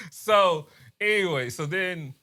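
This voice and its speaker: A man's voice: high voice, dry recording, clean studio sound. A young man, co-hosting a podcast and telling a story in casual chat, laughing often and dropping his voice when he quotes people. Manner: animated, laughs often, drops to quiet voices when quoting others.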